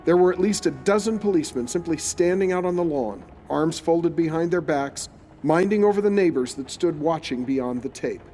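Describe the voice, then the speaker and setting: A man's voice: fairly high pitch, moderate pace, dark tone, a little hiss or hum. A man reading scripted, descriptive story narration for an audio drama, like a storyteller. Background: music.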